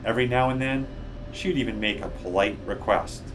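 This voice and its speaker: A man reading a children's book aloud with measured, deliberate phrasing. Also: fairly low pitch, noisy background. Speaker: guest storybook reader. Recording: music behind the voice.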